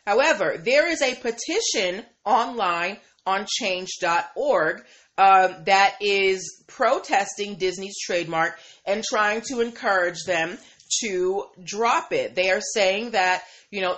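Woman, live-stream host, casual chat, medium pitch, filler-heavy, keeps asking "right?". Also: a bit bright. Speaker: live-stream host reading viewer comments.

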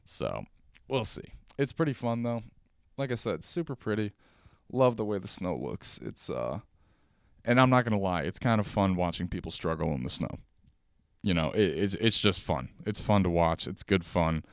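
A man's voice: sits low, expressive, moderate pace, vocal fry, clear, resonant tone, dry recording, studio quality. An American man hosting a casual solo podcast, chatting in a rambling, informal, slangy way. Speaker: podcast host. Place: at a kitchen table at home.

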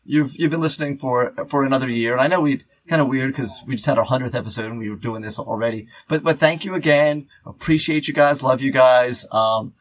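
A man's voice: medium pitch, low-quality recording. A man co-hosting a podcast in loose, unscripted chat, rambling and often restarting his phrases as he goes. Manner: rambling, halting, repeats words and restarts. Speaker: podcast co-host.

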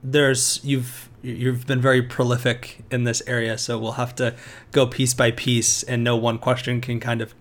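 A man with a medium-pitched voice hosts a podcast interview. He speaks conversationally and a little haltingly, rephrasing his points as he goes. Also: little pitch movement.

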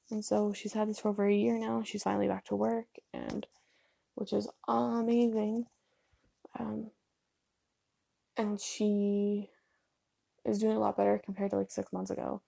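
Gravelly, stuffy-nosed young woman rambling. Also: medium pitch, dark tone, no echo. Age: young adult. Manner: chatty, self-deprecating, filler-heavy with ums and likes. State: stuffy nose. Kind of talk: rambling casual monologue. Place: home.

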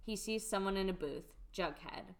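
A woman's voice: mid-range voice, normal speed. A woman reading scripted stage directions as the narrator of a radio play.

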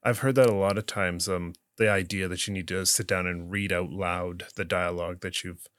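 A man's voice: sits low, fairly even intonation, moderate pace, fairly bright tone, no echo, studio quality. A man hosting an interview podcast, asking questions in an easygoing way with short replies in between. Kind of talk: casual interview conversation.

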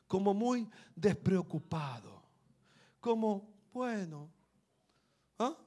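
A man's voice: high voice, a little mellow. A man preaching a sermon, fervent and repetitive, building to emphatic peaks. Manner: fervent, rising to emphatic peaks, repeats phrases.

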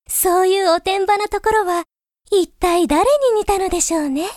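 A woman playing a gentle, thoughtful young princess, speaking formally, softly and earnestly in a Japanese voice drama monologue. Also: very high-pitched, a little slow, dry recording, clean studio sound. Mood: gentle, confiding. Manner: formal, soft-spoken, earnest.

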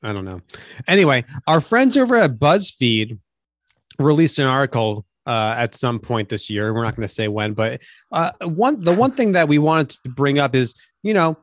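A man co-hosting a podcast in casual chat, quick, teasing and upbeat. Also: medium pitch, lively intonation, dry recording, clean studio sound. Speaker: podcast co-host.

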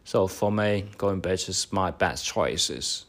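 Young guy, really low voice, slow and halting, lots of uh and um. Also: fairly bright tone. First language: Chinese. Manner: halting, hesitant speech with many fillers. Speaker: podcast host. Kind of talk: mock speaking exam answers.

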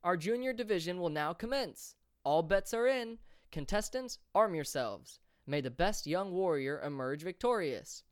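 A man voicing a male arena announcer who makes loud, drawn-out proclamations. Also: high voice, big pitch swings, dry recording, studio quality, voice pushed up. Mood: grandly proclaiming.

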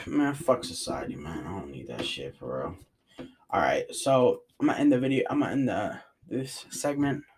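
A man's voice: deep voice, big pitch swings, moderate pace, slight background noise. A man with a New York and New Jersey accent, rambling casually in slangy vlog chat from his room. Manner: slangy, rambling, says bro a lot. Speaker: vlogger. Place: bedroom in a rented cabin.

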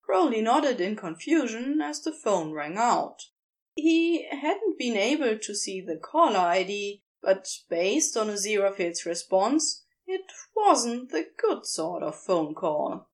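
A woman's voice: medium pitch, sing-song, slow. A woman narrating scripted fiction expressively, as for an audiobook.

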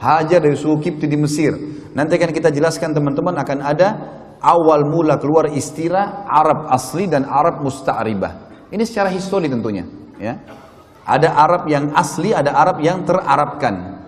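A man's voice: fairly high pitch, normal speed. Male preacher in a reverberant hall. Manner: explanatory, addresses listeners, checks understanding with short tags. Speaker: Islamic preacher. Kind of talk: religious history lecture to a congregation. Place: mosque prayer hall. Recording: reverberant hall with long echo.

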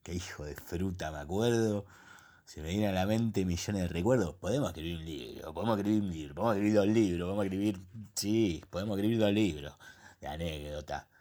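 A man's voice: sits low, brisk, mellow, natural voice. A man playing a crude, jovial middle-aged old school buddy in a comic radio play, effusive and repetitive, with an Argentine accent. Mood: wistful, bawdy. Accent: Argentine, Rioplatense. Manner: repeats himself, effusive.

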